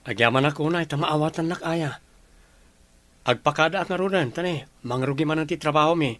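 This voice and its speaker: A man's voice: fairly high pitch, a little fast, a bit bright, no room sound, lightly acted. An actor playing an earnest, polite young man with an Ilocano accent in a radio drama. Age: young adult. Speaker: grandson and boyfriend character.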